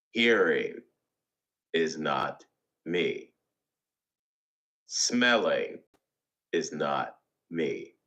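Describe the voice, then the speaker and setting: A man reads a guided meditation in a very deep voice with a bright, forceful edge. He moves extremely slowly, setting down short phrases with deliberate pauses. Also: vocal fry. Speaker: meditation reader.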